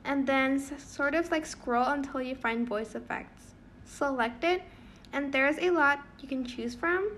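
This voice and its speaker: A woman's voice: high-pitched, measured pace, a bit bright, a little hiss or hum. A young woman hosting a casual app tutorial, informal, giggly and a little hesitant, talking over a screen recording. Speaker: tutorial channel host.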